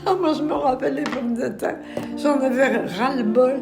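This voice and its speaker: An older woman, a retirement home resident, chatting in a lively way about her childhood. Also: high voice, moderate pace. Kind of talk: recalling memories in an interview. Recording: clear music behind the voice.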